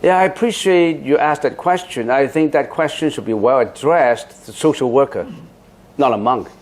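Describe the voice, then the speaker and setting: A middle-aged man, a Buddhist monk whose first language is Chinese, answers questions from his audience in plain, chatty English. His voice sits at an ordinary middle pitch. He circles back on himself, repeating words and breaking into short laughs. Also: normal speed, a little mellow. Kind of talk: Dharma question-and-answer talk.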